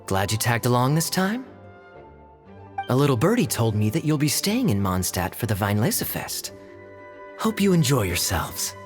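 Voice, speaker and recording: A man's voice: medium pitch, sing-song, normal speed, soft background music, natural voice. Man voicing a sly, teasing young knight. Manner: smooth, teasing and confiding. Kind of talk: game cutscene voice acting.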